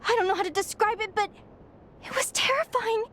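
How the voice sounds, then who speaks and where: A woman's voice: very high-pitched, a little fast, a little hiss or hum. Earnest young Fae girl. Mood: shaken. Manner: soft, reflective, confiding. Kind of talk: game cutscene voice acting.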